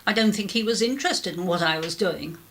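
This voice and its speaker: A woman's voice: medium pitch, fairly quick, fairly bright tone, close to an everyday voice. An actress playing a genteel, regretful middle-aged clergyman's wife in a 1930s radio drama, speaking in a formal, hesitant way. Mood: confessional, subdued.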